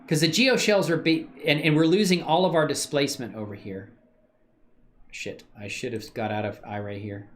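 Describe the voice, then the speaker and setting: A man's voice: medium pitch, moderate pace. A man livestreaming his 3D modeling work, thinking aloud in a casual, slangy way and answering questions from chat. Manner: relaxed slangy talk with many fillers.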